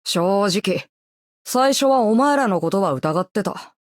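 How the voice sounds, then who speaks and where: A woman voicing a cynical, guarded rogue with blunt, rough speech in a game. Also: mid-range voice, lively intonation, moderate pace, no room sound, studio quality, close to an everyday voice. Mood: wry, guarded. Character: cynical, guarded, rough-talking small rogue slowly warming. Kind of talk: scripted game character voice line.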